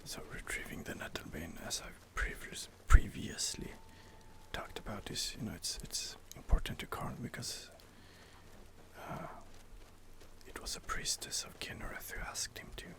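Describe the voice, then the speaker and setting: Man softly whispering game commentary. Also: fairly low pitch, wildly swooping pitch, moderate pace. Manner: soft whispering, musing with many fillers. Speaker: game player and ASMR host. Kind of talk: whispered ASMR gameplay commentary.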